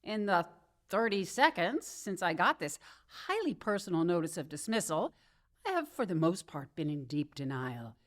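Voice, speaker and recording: A woman's voice: lowish voice, very animated, moderate pace. A woman acting a sarcastic, wisecracking career woman who has just been fired, in a comedic radio drama. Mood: rueful bravado.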